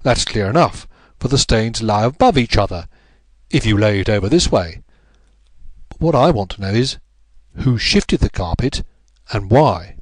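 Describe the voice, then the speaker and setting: A male narrator puts on a smug police inspector in a storybook reading. He is self-important and taunting, with lively swings of pitch, recorded close and dry. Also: normal speed, dry recording. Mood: smug, challenging. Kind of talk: audiobook character voice.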